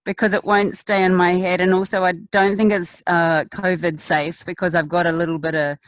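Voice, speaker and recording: A woman's voice: on the low side, little pitch movement, normal speed, no echo. A woman chatting casually on a group video call in a hesitant, wry way. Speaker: guest performer.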